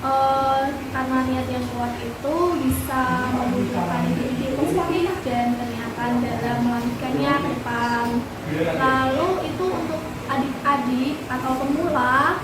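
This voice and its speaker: A woman's voice: high voice, fairly even intonation, measured pace. A woman with a light Javanese accent, chatting casually as a guest speaker and giving advice with many fillers. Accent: Javanese-tinged Indonesian. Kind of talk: casual interview answers. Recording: echoey room from far off, noisy.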